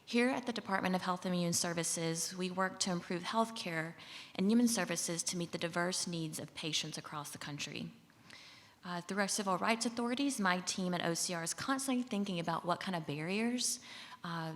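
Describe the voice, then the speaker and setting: A woman from Mississippi with a Southern accent, a government official giving a relaxed, friendly conference talk with fillers. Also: lowish voice. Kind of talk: conference presentation. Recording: long hall reverb.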